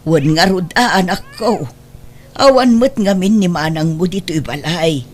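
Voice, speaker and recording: Woman acting a low-voiced, worried older mother. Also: moderate pace, natural voice. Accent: Ilocano. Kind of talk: audio drama acting.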